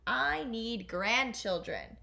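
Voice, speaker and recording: A woman's voice: fairly high pitch, lively intonation, gravelly, heavily acted. A woman voicing an impatient, blustering old king in an emphatic storybook manner. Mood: insistent. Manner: emphatic, drawn-out, shouting.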